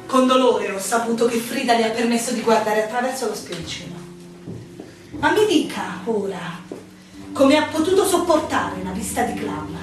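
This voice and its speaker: A proud, imperious innkeeper played by an actress lectures with condescension in a theatrical radio drama. Her voice is fairly high. It sounds distant in a very reverberant space, with music behind. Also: lightly acted. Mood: indignant, proud. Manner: imperious, admonishing, formal. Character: stern, condescending innkeeper woman, protective and proud. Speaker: stage actor in a radio play.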